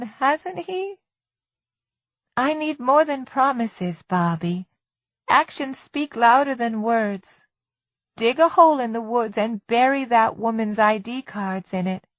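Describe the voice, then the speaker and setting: A woman voicing a troubled middle-aged wife in an audiobook, controlled and quietly resolute. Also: a little high, takes it slowly, no echo, highs cut off. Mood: stern. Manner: stern, controlled.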